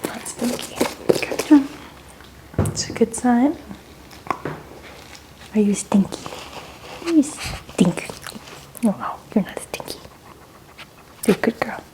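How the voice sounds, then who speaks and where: A soft, breathy woman, often whispering, doing a playful, coaxing ASMR roleplay as a security officer. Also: fairly high pitch, animated, slow, long pauses, noisy background. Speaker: ASMR performer role-playing a security officer.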